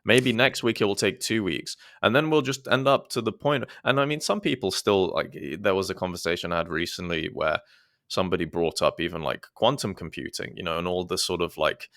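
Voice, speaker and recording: A man's voice: low-pitched, a little fast, fairly bright tone, dry recording, clean studio sound. A man who is a guest on a podcast, talking in a thoughtful, hesitant way with many restarts. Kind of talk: casual podcast conversation.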